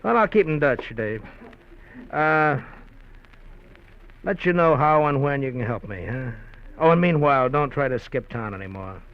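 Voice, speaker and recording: An actor playing a menacing, smooth-talking gangster who mocks and threatens with chuckles, in a 1950s radio drama. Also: male voice, medium pitch, lively intonation, normal speed, pauses often, natural voice. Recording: dull lo-fi 1950s radio recording with faint hiss.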